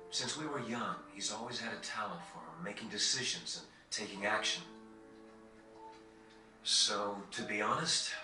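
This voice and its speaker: Guy playing a noble young game prince, formal and sincere, kinda low voice, music behind him. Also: lightly acted. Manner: formal, measured, earnest. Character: earnest, dutiful young prince, measured and regretful. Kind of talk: dramatic video game voice acting. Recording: echoey, distant, over game music.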